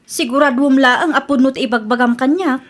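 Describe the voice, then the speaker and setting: A woman's voice: high voice, moderate pace. A woman playing a choosy, trusting but demanding young sweetheart in an Ilocano radio comedy drama, with an Ilocano accent. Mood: firm, coy.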